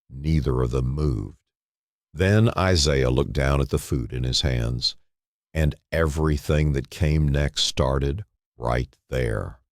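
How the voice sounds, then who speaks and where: A man narrating a scripted dramatic story in short, clipped phrases with dramatic pauses. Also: rumbling low, no echo, clean studio sound.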